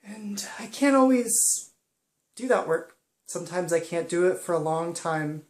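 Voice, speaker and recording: Slow, hesitant young woman in an echoey room. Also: on the low side, expressive. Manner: soft-spoken and hesitant, many pauses and ums. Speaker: vlogger. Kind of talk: personal reflective monologue.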